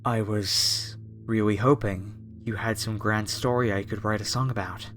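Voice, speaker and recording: A man's voice: lowish voice, steady tone, normal speed, a bit bright, lightly acted. A man playing a polite, apologetic masked cultist, a former music director, in a horror ASMR roleplay addressed to the listener. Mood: calm, reverent menace.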